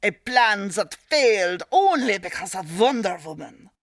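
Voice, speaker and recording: A woman playing a regal, gloating villain queen with a measured, scheming manner in a radio serial parody. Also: mid-range voice, very animated, no echo, studio quality.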